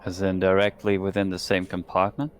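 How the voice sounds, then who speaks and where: A man's voice: sits low, monotone, fairly quick. A man playing a tabletop roleplaying game, speaking in character. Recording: music playing behind the voice. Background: background music.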